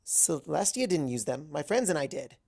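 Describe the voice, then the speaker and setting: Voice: mid-range voice, very animated, moderate pace, gravelly, a little mellow. A narrator voicing an earnest, studious young female unicorn pony who speaks insistently in a storybook reading. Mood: matter-of-fact.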